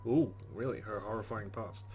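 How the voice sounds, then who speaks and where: A man's voice: fairly low pitch, moderate pace, a little mellow, a little hiss or hum. A man playing a visual novel on video, reading its narration aloud and adding wry, chatty asides. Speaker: let's play host. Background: game music.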